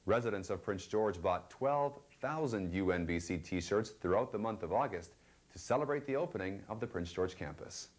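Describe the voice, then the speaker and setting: A man with a fairly low voice reads out a university news segment. His scripted narration is measured and even, in the manner of a campus news program.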